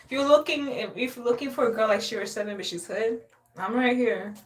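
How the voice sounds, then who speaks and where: A woman's voice: a little high, brisk. A young woman from Haiti chatting casually on a live stream, sassy, boastful and playful, often laughing. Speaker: livestreamer.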